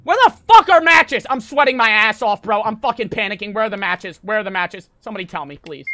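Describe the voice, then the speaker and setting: A young man streaming a horror game, panicking, swearing and yelling in fright while reading notes aloud. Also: high voice, expressive, fast talker, no room sound. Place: small room at home. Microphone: desk mic on stand.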